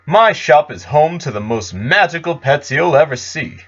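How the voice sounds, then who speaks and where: A man's voice: fairly high pitch, expressive, moderate pace, a bit bright, pitched above the performer's own voice. Man as a folksy pony salesman, slightly dull sound. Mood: inviting. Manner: courteous showman's sales patter. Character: folksy, eager male pony magical pet shop salesman.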